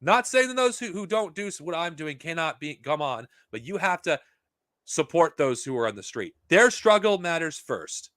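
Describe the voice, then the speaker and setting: A man's voice: fairly high pitch, sing-song, normal speed, a bit bright, no room sound. Heated, profane man, dry close sound. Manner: heated, profane, rambling with restarts. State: losing his voice. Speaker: podcast host. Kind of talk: unscripted monologue to viewers.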